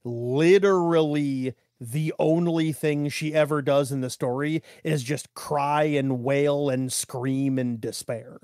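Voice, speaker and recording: A man co-hosting a podcast, casually talking through his notes in a halting way and often saying "like". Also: on the high side, steady tone, darkish tone, no room sound, studio quality. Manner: halting, peppered with "like". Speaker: podcast co-host.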